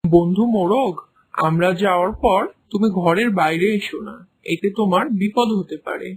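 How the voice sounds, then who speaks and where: A woman's voice: on the low side, normal speed, a little mellow, husky. A woman voicing a protective, sensible cat who gives careful advice in a children's cartoon. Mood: cautioning. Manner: careful, advising. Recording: faint background noise.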